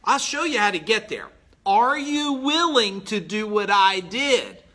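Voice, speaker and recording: A man in his forties giving a blunt, emphatic motivational talk as a business coach on a live stream, clearing his throat now and then. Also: high voice, very animated, unhurried, sharp tone. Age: 40s.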